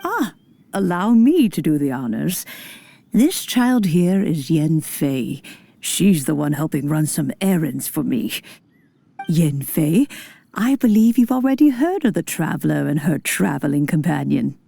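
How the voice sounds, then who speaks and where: A woman playing an elderly, kindly immortal in a video game, speaking in formal, old-fashioned phrases with gentle authority. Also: low-pitched, big pitch swings, unhurried, gravelly, full character voice. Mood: amused, genial. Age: older. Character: elderly kindly female adeptus, nostalgic and gently commanding. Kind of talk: scripted video game acting.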